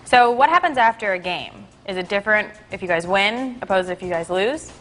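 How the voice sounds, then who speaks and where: A woman's voice: mid-range voice, lively intonation, fairly quick, fairly bright tone, soft background music. A young woman hosting a sports interview, bubbly and chatty as she introduces her guest and asks questions. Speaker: interviewer and host.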